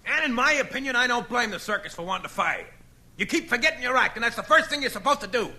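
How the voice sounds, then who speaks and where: A bellowing radio-comedy manager, sharp, fast and high, heard through a door. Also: male voice, lively intonation, exaggerated voice. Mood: scolding. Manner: scolding, bellowing. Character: blustery middle-aged male talent manager.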